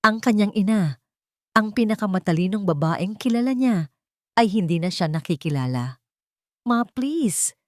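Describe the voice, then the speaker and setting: A woman's voice: medium pitch, animated, normal speed, no room sound. A woman narrating a scripted romantic drama with emotive, melodramatic storytelling. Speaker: narrator of audio love stories.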